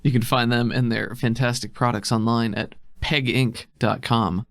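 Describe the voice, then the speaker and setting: A man's voice: mid-range voice, fairly even intonation, normal speed, vocal fry, fairly bright tone, no room sound. A man hosting a tabletop game podcast as game master, moving between casual chat and descriptive narration.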